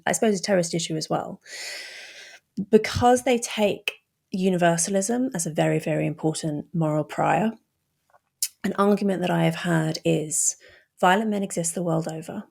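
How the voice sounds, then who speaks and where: A woman with a British accent hosts a conversational podcast interview. Her voice is low and gravelled with vocal fry, and she phrases her thoughts in a measured, reflective, slightly halting way. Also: darkish tone. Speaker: podcast host.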